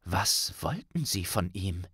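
A man's voice: low-pitched, sing-song, takes it slowly, a bit bright, breathy edge, no room sound, studio quality. A man reading fiction as a dramatic, scripted audiobook narration.

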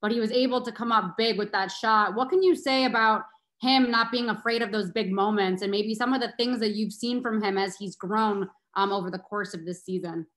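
A female reporter asks the coach a friendly, conversational question at a press conference. Her voice sits at a middle pitch. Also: steady tone, a little fast, fairly bright tone.

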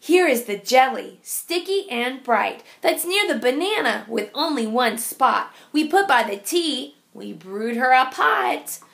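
A woman with a high voice reads a picture book to small children. Her delivery is very slow and sing-song, with a noticeable creak in the voice. She places each phrase deliberately, as a teacher would. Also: lively intonation, a bit bright. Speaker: teacher reading aloud. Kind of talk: picture-book read-aloud for young children.

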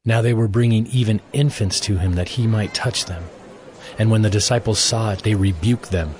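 An actor playing a solemn narrator of scripture, measured and formal, in a dramatized audio Bible. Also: male voice, lowish voice, a little hiss or hum, lightly acted. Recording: very loud background music.

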